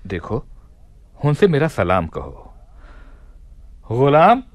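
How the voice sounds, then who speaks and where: A man playing a commanding yet gracious Caliph of Baghdad in a radio play, with measured, regal, formal diction. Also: medium pitch, wildly swooping pitch, dry recording. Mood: brisk, commanding. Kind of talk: Hindi radio play acting.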